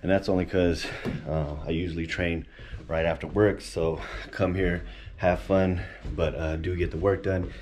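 A man casually narrating a vlog as he tours his weightlifting gym, relaxed and chatty. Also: deep voice, normal speed, slight background noise. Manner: relaxed, chatty, laid-back. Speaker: vlogger touring his gym. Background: music.

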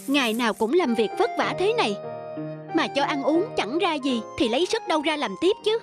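A woman's voice: sits high, big pitch swings, fairly quick. A woman voicing a cheeky, discontented young male farmhand in a folk-tale cartoon, with music behind. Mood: grumbling.

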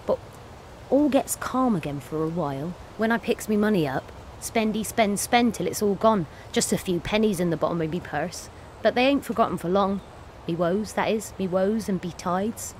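A woman's voice: mid-range voice, moderate pace, a little mellow, slight background noise, lightly acted. An actress playing a bitter, defiant working-class cleaner and self-styled wolf woman in an audio play monologue, with an earthy, sweary way of rambling. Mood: wry, confiding.

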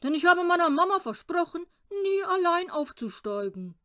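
Lady doing a sleepy little talking puppy boy, polite, storybook style, high voice. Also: sing-song, moderate pace, pushed and bright, full character voice. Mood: polite, willing. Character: small, sleepy, unbothered talking male poodle puppy.

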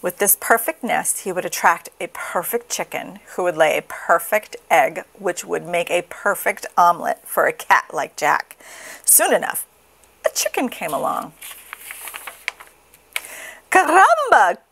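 A woman reading a children's story aloud with expressive, dramatic, deliberate phrasing. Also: on the low side, vocal fry. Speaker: storybook reader.

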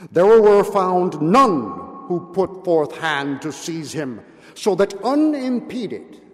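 Older male literary reader, theatrical and measured, very unhurried pace, long hall reverb. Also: fairly high pitch, a little mellow. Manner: theatrical, solemn, deliberate. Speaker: reader at a public event. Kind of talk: dramatic literary reading. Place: indoor hall, at a podium. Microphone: gooseneck podium microphone.

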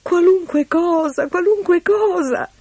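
A woman's voice: mid-range voice, lively intonation, unhurried, dark tone, husky, dry recording, voice pushed up. A woman voicing an anxious young woman in a fairy tale, plaintive and pleading. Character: anxious young woman, pleading miller's daughter turned queen. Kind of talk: fairy-tale storybook character voice.

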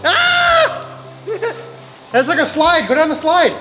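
A woman narrating a family vlog from behind the camera on a farm, excitedly cheering her kids on as they play. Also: very high voice, slow, over loud music, poor audio. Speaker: mother and family vlogger. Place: outdoors on a farm, barn and lakeside.

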